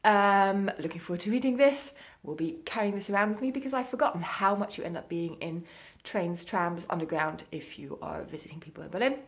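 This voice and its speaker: A woman's voice: mid-range voice, brisk. A woman chatting casually as a book vlogger, in an informal, self-deprecating way. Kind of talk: casual chatty reading vlog.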